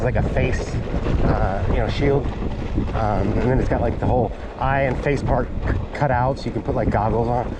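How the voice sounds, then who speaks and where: A man vlogging excitedly while riding an ebike on dirt hills, talking into a chest-mounted action camera, rambling and swearing casually. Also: medium pitch. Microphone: GoPro chest mount camera. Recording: loud wind-buffeted action camera audio. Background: loud wind noise on the mic.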